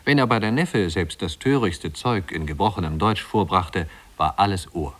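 A man narrating a literary tale in a 1950s radio drama, in a measured, wry storytelling manner. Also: low voice, a bit bright.

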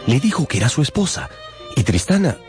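A man's voice: mid-range voice, sing-song, fairly quick. A man narrating a radio drama in a dramatic, scripted storytelling style. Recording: music behind the voice.

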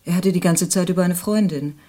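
A woman's voice: on the low side, a little fast, dark tone, lightly acted. An actress playing a gossipy, pitying female friend who confides her opinions in an audio drama. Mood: confiding, disapproving. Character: gossipy, pitying woman, loyal friend testifying.

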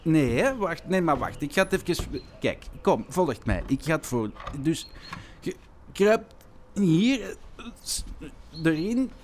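A man's voice: a little high, big pitch swings, slow, a little mellow, faint music behind. An actor with a Flemish accent playing a bickering, clumsy policeman besotted with his dog, in a naturalistic comedy radio play. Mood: eager coaxing. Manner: repetitive, muttering, colloquial.

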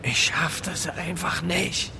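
A fairly high male voice over clear traffic and street noise. It is a guileless down-and-out petty thief, speaking plainly and haltingly in a radio play. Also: steady tone, sharp tone, exaggerated voice. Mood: downcast, helpless. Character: hapless, guileless homeless man, proud petty thief. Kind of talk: naturalistic radio drama dialogue. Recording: noisy outdoor street with traffic.

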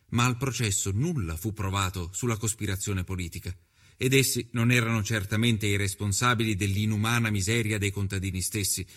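A man playing a grave nineteenth-century journalist narrating his inquiry in a solemn, measured, literary way for a documentary. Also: fairly low pitch, lightly acted. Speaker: voice actor in a documentary. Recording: voice mixed over prominent music. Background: music.

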